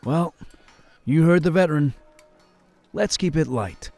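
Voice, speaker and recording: An actor playing a polite, sensible young crusader in a fantasy audio drama, formal and cautious. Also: male voice, a little high, lively intonation, a little slow, creaky, darkish tone, soft background music. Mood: wry.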